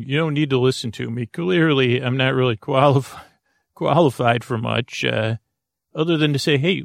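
A man with a low, gravelly voice rambles through a bedtime monologue, stumbling over restarts and fillers as he jokes gently. His voice sits close and dry, with no room sound around it. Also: no room sound. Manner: halting, full of fillers and restarts, gently joking. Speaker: sleep podcast host.

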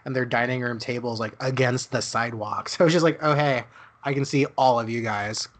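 A man's voice: mid-range voice, steady tone, fairly quick, a bit bright. A young man from Dallas, co-hosting a podcast, who talks casually with lots of "like" fillers and laughs often. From Dallas, Texas. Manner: chatty storyteller, laughs often, many "like" fillers. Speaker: podcast co-host. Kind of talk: casual chat.

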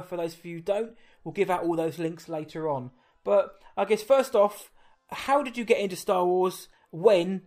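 Host: Essex man, a touch high-voiced, chatty, rambles and corrects himself mid-question. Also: darkish tone. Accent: Essex English. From England. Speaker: podcast host. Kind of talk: casual interview chat.

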